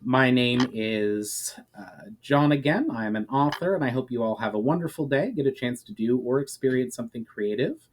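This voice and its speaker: A man's voice: medium pitch, lively intonation, moderate pace, hardly any pauses, a little hiss or hum. Man reading fantasy fiction aloud. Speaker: author reading his own fantasy chapter. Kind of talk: dramatic audiobook-style narration.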